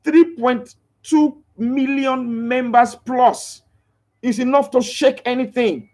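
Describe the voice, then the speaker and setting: A man's voice: very high voice, fairly even intonation, slow pace, darkish tone. A man hosting a motivational live broadcast, preaching with emphatic repeated phrases and stopping to read out and answer viewers' comments. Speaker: live broadcaster promoting a crypto scheme.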